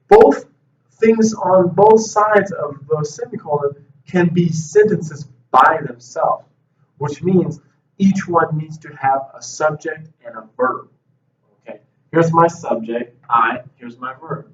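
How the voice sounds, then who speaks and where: A man teaching English grammar at a whiteboard, explaining slowly and patiently with frequent checks of "okay". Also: a little high. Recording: roomy, distant, dull classroom audio.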